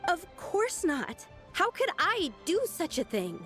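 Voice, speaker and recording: A woman's voice: very high-pitched, huge pitch swings, slow pace, a bit bright, faint music behind, full character voice. A woman playing a bewildered, frightened young woman in a video game, faltering and pleading. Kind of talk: dramatic video game voice acting.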